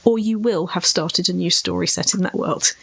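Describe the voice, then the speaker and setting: A woman's voice: fairly low pitch, normal speed, gravelly, fairly bright tone, husky. A woman speaking as a writing coach on a podcast, giving advice conversationally.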